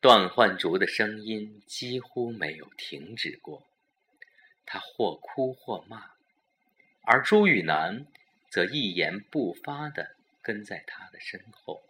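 Slow, low-voiced male suspense narrator. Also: expressive, bright, forceful tone. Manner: dramatic, deliberate storytelling. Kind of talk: scripted suspense audio drama narration.

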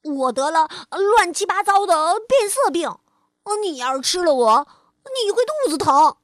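A nervous little male chameleon from a storybook, voiced by a woman, who stammers and trips over his words. The voice sits high and swings widely, close and dry. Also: lively intonation, unhurried, fairly bright tone, no room sound, full character voice. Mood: bluffing.

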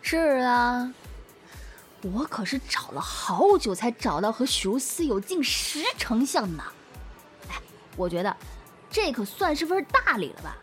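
A woman's voice: on the high side, big pitch swings, unhurried, a bit bright. A woman playing a brazen, possessive young woman in love, taunting and volatile, in a Chinese audio drama. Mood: smug, taunting. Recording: music behind the voice.

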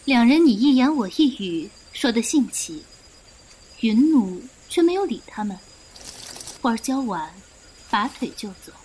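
Female narrator for scripted audio drama, slightly high, animated delivery. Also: a little slow, long pauses, a little hiss or hum, low-quality recording.

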